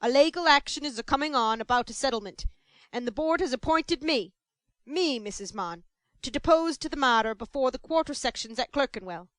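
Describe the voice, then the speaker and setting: A woman's voice: sits high, lively intonation, brisk, no echo, rough recording. A woman voicing Mister Bumble, pompous beadle. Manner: stern, blustering, self-satisfied. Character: pompous self-important middle-aged male parish beadle.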